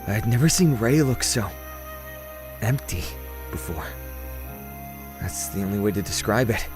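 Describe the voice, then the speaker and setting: A man's voice: fairly low pitch, animated, a little slow, music in the background. A young man acting as a reluctant, self-doubting prince in an audio drama, speaking in a casual, hesitant, brooding way. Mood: weary recounting. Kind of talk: audio drama acting and first-person narration.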